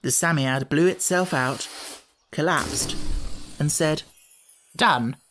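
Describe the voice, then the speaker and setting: Unhurried male storybook narrator. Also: fairly high pitch, a bit bright, a little hiss or hum. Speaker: audiobook narrator.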